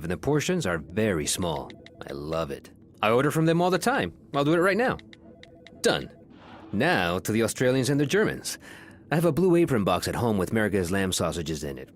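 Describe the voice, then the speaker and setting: An actor playing a flamboyant, grandiose Brazilian creative director in a comedy audio drama, pompous and theatrical. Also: male voice, fairly low pitch, slight background noise.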